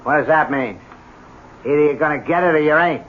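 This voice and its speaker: An actor playing a blunt, impatient, bullying business partner who talks tough and sneers, in 1970s radio drama style. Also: male voice, fairly high pitch, brisk, slight background noise, close to an everyday voice. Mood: threatening. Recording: dull old radio broadcast.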